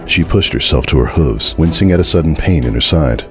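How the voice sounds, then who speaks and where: Male narrator, extremely low pitch, dramatic horror storytelling with clearly audible music underneath. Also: lively intonation, fairly quick.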